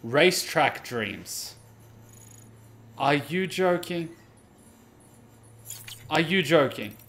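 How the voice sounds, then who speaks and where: A man's voice: a little high, lively intonation, extremely slow, a bit bright. A man giving casual live gameplay commentary, exclaiming, questioning and swearing as he plays. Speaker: gaming streamer. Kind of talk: casual live gameplay commentary, reading on-screen text aloud. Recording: background noise behind the voice.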